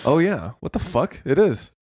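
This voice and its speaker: A man's voice: fairly high pitch, big pitch swings, moderate pace, a little mellow, breathy edge, no room sound, clean studio sound. A young man co-hosting a casual gaming podcast, sounding congested and rambling with fillers and swearing. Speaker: podcast co-host.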